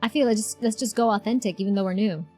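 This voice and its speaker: A woman's voice: mid-range voice, fast talker, a little mellow. A woman streamer giving casual game reaction commentary, chatty and thinking aloud. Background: faint game music.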